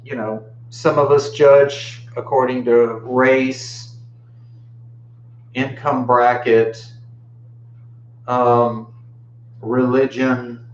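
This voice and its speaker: An American man of fifty-nine giving an informal dharma talk in a reflective, halting way with long pauses. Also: mid-range voice, level tone. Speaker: Buddhist teacher giving a talk. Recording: muffled, roomy, faint background hum.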